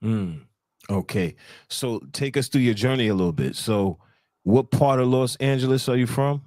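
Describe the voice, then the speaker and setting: Podcast host guy, regular pitched voice, no room echo, slangy and casual, doubles up his words. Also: moderate pace, a little mellow. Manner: slangy, repeats words for emphasis. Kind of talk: casual interview chat.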